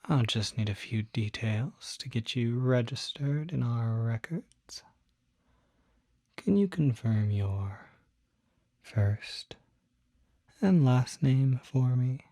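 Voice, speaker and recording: A courteous, reassuring demon doctor, played by a man in an ASMR roleplay. The delivery is very slow and gentle, with a politely formal bedside manner that often slips toward a whisper. The tone is dark and relaxed, captured close and dry. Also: medium pitch, no echo. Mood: gentle, welcoming. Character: courteous, soft-spoken male demon doctor, fussy and reassuring. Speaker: doctor at a supernatural clinic.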